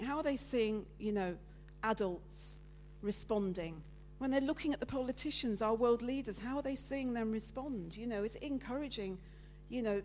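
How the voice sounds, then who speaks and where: A woman giving a lively, loud, chatty sermon with slides, talking with her congregation. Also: fairly high pitch, slight background noise. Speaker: preacher giving a talk at church. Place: church meeting room with listeners present.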